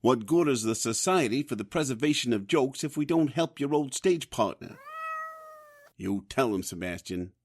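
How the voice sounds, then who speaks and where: An old man in an audio drama with a mid-range voice. He is gruff in manner and blunt, prodding like a loyal friend who has no time for nonsense. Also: mid-range voice, lively intonation, moderate pace. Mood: blunt, urging. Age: older.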